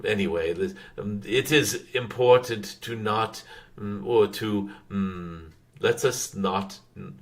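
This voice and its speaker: Man voicing a gentle dragon spirit, very slow, lowish pitch, measured spiritual guidance, halting with hummed pauses. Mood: calm, instructive. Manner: halting, measured, frequent humming pauses, formal phrasing. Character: wise, gentle dragon spirit addressing followers as dear ones. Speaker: spiritual channeler. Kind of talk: channeled spiritual guidance, unscripted teaching.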